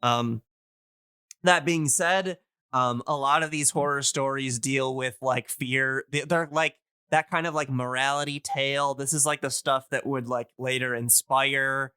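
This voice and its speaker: A man in a casual explanatory podcast chat, talking in a hesitant, halting way as he thinks aloud. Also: mid-range voice, steady tone, pushed and bright, clear, resonant tone, no room sound, clean studio sound.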